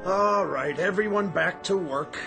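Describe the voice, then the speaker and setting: A sneering, officious store manager in a radio play. His voice sits fairly high, clipped and pompous, with music clearly behind it. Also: measured pace. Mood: scornful. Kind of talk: radio drama acting.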